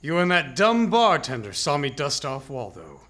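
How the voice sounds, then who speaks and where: An actor with an American accent playing a menacing, taunting killer and bank robber in a hardboiled crime radio drama. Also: male voice, fairly high pitch, big pitch swings, a bit bright. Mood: cold menace. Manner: slow, taunting tough-guy drawl.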